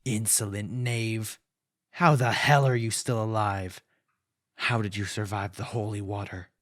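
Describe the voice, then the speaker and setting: A man plays an arrogant, possessive vampire lord in a fantasy audio roleplay. His voice is very deep and drawn out, pompous and taunting, recorded bone-dry and close. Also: steady tone, no echo, natural voice. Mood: contemptuous, wrathful. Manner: pompous, taunting, theatrical and deliberate. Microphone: close, dry studio-style mic.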